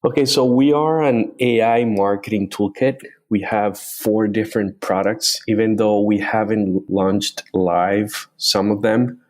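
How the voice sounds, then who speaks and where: Low-voiced man. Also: fairly even intonation, unhurried, hardly any pauses, a little mellow. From Colombia. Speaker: guest, company founder. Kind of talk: interview answers.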